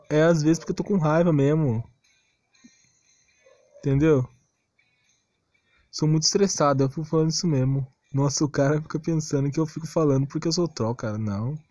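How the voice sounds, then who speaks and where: A man's voice: a little high, a little mellow, dry recording. A Brazilian man ranting casually and unscripted as a gaming video creator, defensive and exasperated, on a close, cheap-sounding mic. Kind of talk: casual unscripted rant over game footage.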